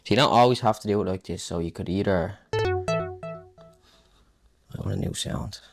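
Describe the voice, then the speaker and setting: A man's voice: lowish voice, normal speed. A man presenting a casual music production tutorial, thinking aloud in an informal, muttering way as he works. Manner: informal, muttering to himself while working. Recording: electronic house music playing loudly. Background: loud electronic house music.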